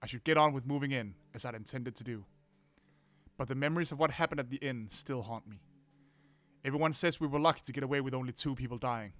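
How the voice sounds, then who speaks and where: A man hosting a game playthrough, reading narration aloud and commenting in a casual, expressive, sweary way. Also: medium pitch, fast. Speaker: gaming video host. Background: faint game music.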